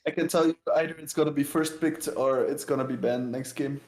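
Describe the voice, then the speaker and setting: A man's voice: on the high side, steady tone, fairly quick, a little mellow, echoey room, faint music behind. A man casting a live game in a casual play-by-play way, heard through a voice call. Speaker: esports caster. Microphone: new microphone, heard through a voice call.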